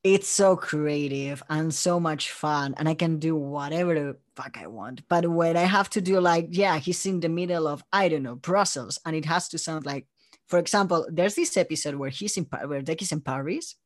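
A man from Spain, chatting casually as an interview guest and telling stories in an animated way. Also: a little high, moderate pace. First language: Spanish. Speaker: interview guest, audio drama creator and voice actor.

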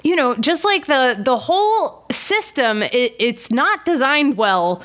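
A woman's voice: high-pitched, very animated, slow pace, a bit bright. A woman in her thirties hosting a podcast over a video call, chatty and rambling, laughing often. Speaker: podcast host. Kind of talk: casual podcast chat.

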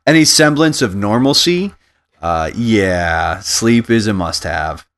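A man's voice: fairly low pitch, expressive, takes it slowly, fairly bright tone. A man co-hosting a casual podcast chat, who talks in a laid-back, jokey way and halts with many fillers. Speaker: podcast co-host.

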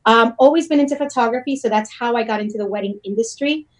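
A woman's voice: fairly high pitch, fast talker. A woman from Puerto Rico talking casually as an interview guest, explaining her work. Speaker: interview guest, wedding photographer and blogger.

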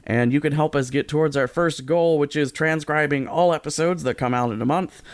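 A man's voice: a little high, fairly quick, dry recording. A man co-hosting and editing a casual podcast from his living room, chatting in a playful, rambling way with jokey asides. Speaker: podcast co-host and editor. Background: radio sound effects and music.

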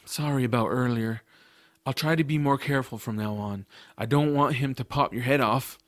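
A man's voice: medium pitch, moderate pace, dry recording, close to an everyday voice. Audiobook lead, male actor: sincere young hero, first-person storyteller, medium register, dry studio sound. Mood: apologetic, defensive. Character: earnest, kind-hearted adventurous boy narrating his story.

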